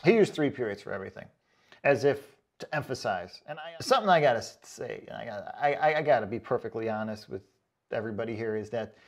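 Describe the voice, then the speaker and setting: A man's voice: medium pitch, lively intonation, fast talker. A man in a casual comedic rant, reading out comments as an online host, sarcastic, mocking and freely swearing, with emphatic delivery. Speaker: online boot review video host.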